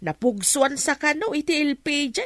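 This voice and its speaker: A woman's voice: high voice, animated, normal speed, a bit bright, no echo, clean studio sound. A woman playing a concerned mother of a grown son in a radio drama, sympathetic and exclaiming. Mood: sad, worried. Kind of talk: melodramatic radio drama acting.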